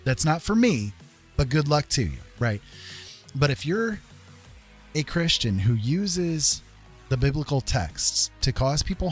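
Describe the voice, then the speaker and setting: A man with a medium-pitched voice hosts a casual livestream with music behind him. He speaks in an opinionated, conversational manner, leaning on key points and regularly checking that the listener follows. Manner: emphatic, conversational, often checking with "right?" and "okay?". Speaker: livestream host.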